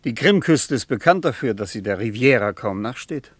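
An actor playing a courteous German traveller who answers calmly and politely. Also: male voice, mid-range voice, normal speed, breathy edge, no echo. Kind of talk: 1950s radio play acting.

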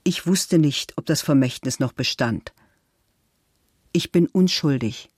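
Higher-pitched man, measured dry studio reading. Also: darkish tone, husky, no room sound. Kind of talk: dramatic reading of a first-person statement.

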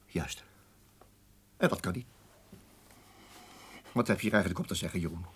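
A man's voice: low-pitched, moderate pace, breathy edge. An actor playing an exasperated, sarcastic male boss who rants and stammers with indignation in a radio play.

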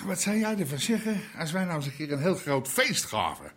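Radio drama: swaggering Amsterdam mob boss, middle-aged, coarse, swearing, bright forceful edge, animated delivery. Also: male voice, on the high side, fairly quick. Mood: expansive, boastful. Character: brash, foul-mouthed Amsterdam underworld boss, middle-aged husband. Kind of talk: naturalistic radio drama acting.

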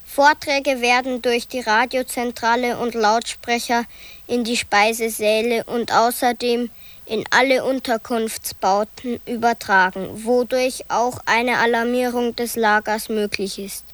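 A woman reading an official document aloud in a measured, matter-of-fact way for a radio piece. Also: on the high side, steady tone, a bit bright.

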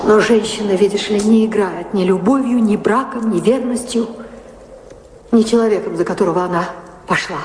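An actress playing a proud, passionate lady of the house in a radio play, speaking in a measured, theatrical way with pointed questions. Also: mid-range voice, a little mellow, a little hiss or hum, close to an everyday voice. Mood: earnest, impassioned. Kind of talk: radio play stage acting. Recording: echoey hall reverb, music behind.

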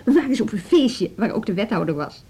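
An actress playing an assertive, brisk woman on a music school's leadership team in a radio drama, with a Netherlands Dutch accent. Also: on the high side, animated, mellow, husky, full character voice. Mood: eager, persuasive.

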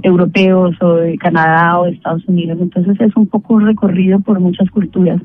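A middle-aged woman from Bogotá with a Colombian accent, a singer speaking as an interview guest, measured and grateful with frequent pauses. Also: on the low side, flat delivery. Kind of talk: phone interview. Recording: tinny phone line with faint music.

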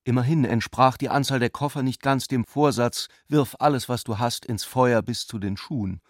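Man acting a measured, reflective literary man, dry studio. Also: medium pitch, no room sound, lightly acted. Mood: measured, wondering. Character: male narrator and doubting, serious lover in literary radio drama. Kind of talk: literary audio drama narration and dialogue.

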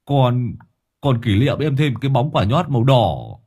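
A man's voice: medium pitch, very animated, a little slow, darkish tone, breathy edge, pitched above the performer's own voice, full character voice. A man voicing a dim-witted, cheerful young servant who stammers out excuses. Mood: defensive.

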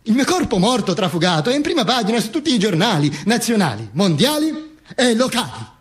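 A man playing the sardonic, self-pitying corpse of a dictator, telling his own story in a declamatory, halting radio monologue. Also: sits high, very animated, breathy edge, exaggerated voice. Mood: self-important. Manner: declamatory, halting, with repeated phrases and dramatic pauses.

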